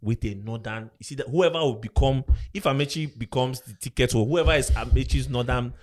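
A young man with a Nigerian accent in casual political chat, lively and slipping into pidgin phrases. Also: mid-range voice, a little fast.

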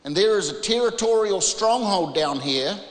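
A man from New Zealand with a New Zealand accent preaches an emphatic, informal charismatic sermon, using dramatic pauses and rhetorical questions. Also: sits high, expressive. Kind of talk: charismatic sermon, part exhortation and part Bible reading. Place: large reverberant room, preaching to a congregation. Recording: echoey, reverberant large room.

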